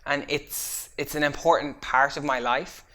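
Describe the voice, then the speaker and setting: A woman's voice: low voice, fairly even intonation, measured pace, a bit bright. A young woman, speaking earnestly and thoughtfully in a documentary interview. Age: young adult. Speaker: youth theatre member. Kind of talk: reflective documentary talk.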